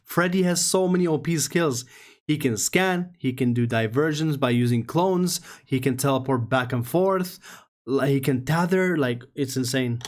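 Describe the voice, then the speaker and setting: A man's voice: mid-range voice, moderate pace. A man giving casual, slangy, enthusiastic reaction commentary as a gaming video creator.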